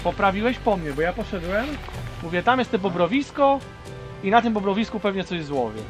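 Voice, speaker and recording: A man out fishing by a small river, chatting casually and lively with his friend. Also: high-pitched, fairly quick, faint music behind. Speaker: fishing companion. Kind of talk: casual chat.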